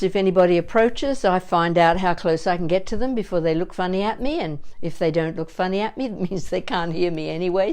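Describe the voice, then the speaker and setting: A woman teaching vocal warm-up exercises in an expressive, encouraging manner, as a voice coach would. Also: lowish voice, clean tone. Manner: expressive, encouraging, demonstrates with sighs and playful asides. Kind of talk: instructional warm-up demonstration.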